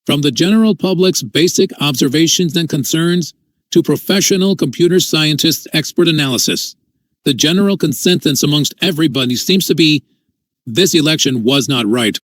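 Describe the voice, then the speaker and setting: Earnest man with a dry, fairly high voice. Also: normal speed, a bit bright, no room sound. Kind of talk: scripted commentary.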